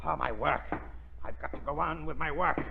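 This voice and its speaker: An actor playing a crazed, bitter killer who rants obsessively in 1930s radio melodrama style. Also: male voice, fairly high pitch, moderate pace, vocal fry, a crowd in the background. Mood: panicked. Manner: ranting, obsessive, repeats words. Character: crazed, bitter, limping male killer and experimenter. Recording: muffled old 1930s radio recording.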